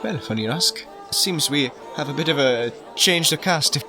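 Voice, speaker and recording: A man's voice: fairly high pitch, lively intonation, normal speed, music in the background, a crowd in the background, pitched above the performer's own voice, lightly acted. A man playing an affable, chatty fantasy priest who works his congregation with casual menace. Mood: chatty, sly. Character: smooth, folksy deacon priest working his congregation.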